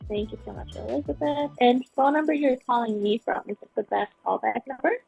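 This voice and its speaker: A woman's voice: a little high, a little fast, vocal fry, a little mellow. A young woman working as a customer service booking agent on a phone call, speaking in a patient, polite and reassuring way. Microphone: telephone. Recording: muffled phone call recording, music behind.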